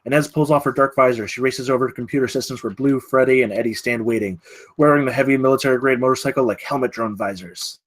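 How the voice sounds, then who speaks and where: A man narrates screenplay action in short, clipped phrases. His pitch sits in an average male range, and he gives the stage directions a dramatic push. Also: fast, rough recording. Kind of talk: scripted narration at a screenplay table read.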